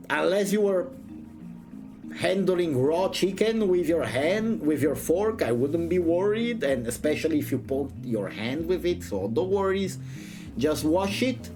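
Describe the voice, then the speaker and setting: A man chatting casually as a vtuber streamer during a visual novel reading, with a playful, exclamatory, joking manner. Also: sits high. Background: music.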